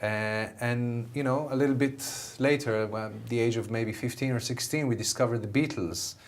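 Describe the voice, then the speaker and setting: Middle-aged Israeli-accented musician, eager guest, average pitch. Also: male voice, moderate pace. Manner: enthusiastic, many fillers and restarts. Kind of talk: TV interview answers.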